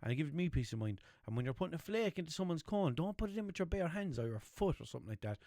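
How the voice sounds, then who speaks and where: A man's voice: on the high side, very animated, quick pace, mellow, no echo, studio quality. A young man with a Limerick accent hosting a podcast, rambling casually, swearing freely and checking in with listeners. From Ireland. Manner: chatty, rambling, swears freely, asks listeners "know what I mean". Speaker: podcast host.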